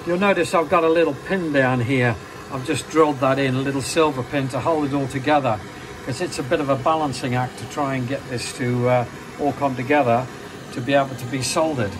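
A man's voice: mid-range voice, steady tone, a little fast, noisy background. An older man, a silversmith, explaining each step plainly as he works at his bench in a small workshop. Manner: practical, plain-spoken, step-by-step.

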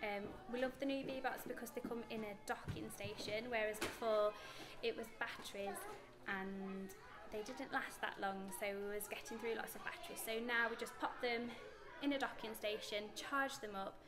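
High-voiced British woman teacher explaining. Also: steady tone, moderate pace. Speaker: primary school teacher. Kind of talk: explanatory interview talk about classroom practice. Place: primary school classroom.

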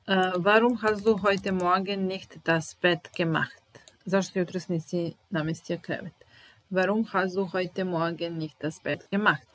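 A woman in her thirties with a Serbian accent, teaching German slowly, repeating each phrase while typing, in a small room at home. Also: fairly low pitch, steady tone. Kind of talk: slow, deliberate language lesson.

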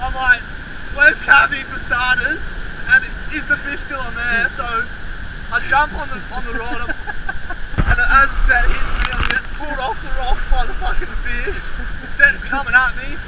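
A young man on ocean rocks, filming with an action camera and excitedly recounting a near-disaster while fishing. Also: extremely high pitch, normal speed. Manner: breathless, excitable, swearing. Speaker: fisherman filming. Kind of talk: excited casual chat. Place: ocean rocks outdoors, crashing surf. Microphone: action camera held at arm's length. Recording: loud wind and surf noise.

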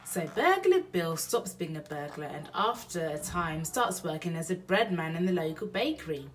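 A woman's voice: on the low side, sing-song, moderate pace. A young woman reading a children's story aloud at home as a storyteller. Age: young adult. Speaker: storyteller mother.

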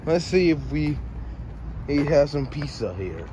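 A young man vlogging outdoors on a handheld phone, giving a halting, mumbly walkthrough of an abandoned building. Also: fairly high pitch, very animated. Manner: mumbly, halting, talks to himself. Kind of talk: rambling handheld walkthrough commentary. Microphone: handheld phone camera. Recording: loud traffic and outdoor noise.

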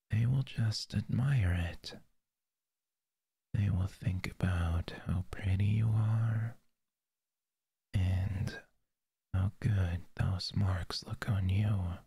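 A possessive, jealous lover, played by a man in an audio roleplay, speaking very slowly and intimately close to the microphone. His low voice is gravelly and very breathy, and he often drops into a whisper. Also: dark tone, no echo, exaggerated voice.

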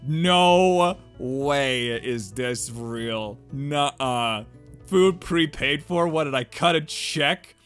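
A man's voice: mid-range voice, animated, very slow, fairly bright tone, smooth, soft background music. A man hosting a gaming playthrough, reading dialogue aloud casually with joking, exclamatory asides. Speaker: gaming let's play host.

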